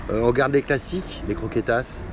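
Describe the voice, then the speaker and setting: A middle-aged man talks slowly and hesitantly, with plenty of pauses and fillers, as he answers questions about his bar. He is recorded outdoors on a city street, with clear traffic noise behind him. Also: medium pitch. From France. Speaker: bar owner. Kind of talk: casual interview.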